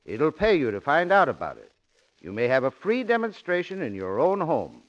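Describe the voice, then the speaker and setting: Lively male vintage radio pitchman. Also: normal speed. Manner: earnest, explanatory salesmanship. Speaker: sponsor's spokesman. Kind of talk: scripted 1940s radio advertisement. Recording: dull old radio broadcast.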